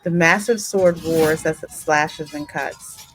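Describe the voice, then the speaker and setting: Female game host and narrator: deep register, measured pace, dramatic storytelling delivery with conversational asides. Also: faint music behind, rough recording. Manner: deliberate, dramatic reading. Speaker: gaming channel host and narrator.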